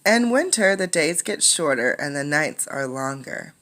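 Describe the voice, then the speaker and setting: A woman reading a picture book aloud as a teacher, in an instructive way, pausing to explain and ask questions. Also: low-pitched, sing-song, a bit bright.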